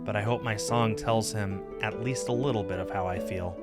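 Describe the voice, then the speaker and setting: A man reading visual novel narration aloud on a stream in an expressive, scripted storytelling style. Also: lowish voice, normal speed, over loud music.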